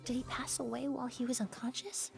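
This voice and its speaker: An analytical young expert in a video game. Her voice sits a little high. She is composed and measured, laying out each detail with calm precision. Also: vocal fry, music in the background. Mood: calm, thoughtful. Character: composed, analytical young female perfumer examining clues.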